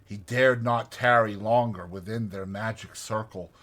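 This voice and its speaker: A man narrating a classic storybook in an expressive, dramatized audiobook style. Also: fairly low pitch, measured pace. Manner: expressive, literary reading.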